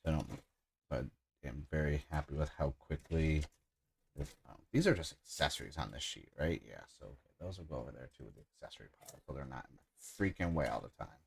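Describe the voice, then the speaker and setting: A man's voice: extremely low pitch, a little fast, vocal fry, a little mellow, a little hiss or hum. A man in his thirties chatting casually and rambling enthusiastically while he builds a model kit on a livestream, with many filler words. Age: 30s.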